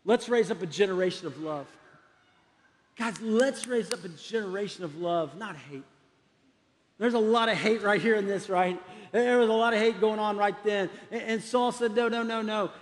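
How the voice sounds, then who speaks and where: A man's voice: sits high, moderate pace. A middle-aged man from Texas preaching a sermon as a pastor, emphatic and exhortative, repeating phrases for weight. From Texas, United States. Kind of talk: sermon to a congregation. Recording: long echoing hall reverb.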